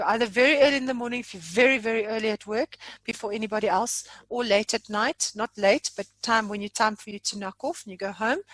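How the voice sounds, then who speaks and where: A South African woman giving an informal software demonstration, chatty and jokey, poking fun at herself. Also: medium pitch, dry recording, low-quality recording. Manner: chatty, jokey, self-deprecating. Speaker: software trainer.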